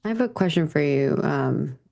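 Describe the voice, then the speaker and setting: A woman chats and improvises as a player in a tabletop game. Her voice sits fairly low and crackles with fry. The delivery is casual and conversational. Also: expressive, moderate pace, warm tone. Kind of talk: casual improvised roleplay chat.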